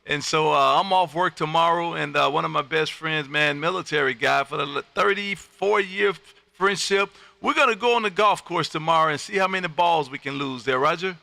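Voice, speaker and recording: American man, exuberant radio-show host, very sharp forceful tone, gravelly edge, showman patter, repeated phrases. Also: a little high, fairly quick, no echo. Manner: exuberant, loud personality, repeats phrases for emphasis. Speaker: amateur radio operator and livestream host. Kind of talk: lively on-air ham radio chat with showman patter. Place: home radio room.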